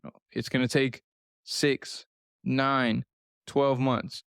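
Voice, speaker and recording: A man's voice: mid-range voice, level tone, takes it slowly, gravelly, fairly bright tone, clean tone, no room sound, clean studio sound. A man in his thirties hosting a solo nutrition podcast, talking straight to the listener in an earnest, repetitive coaching manner. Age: 30s. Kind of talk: solo podcast advice talk.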